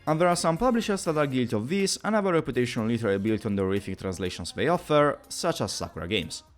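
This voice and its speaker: A man's voice: medium pitch, lively intonation, quick pace, faint music behind. A man narrating a scripted explainer video in an emphatic, conversational way, posing rhetorical questions as he goes. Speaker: YouTube video essayist and presenter.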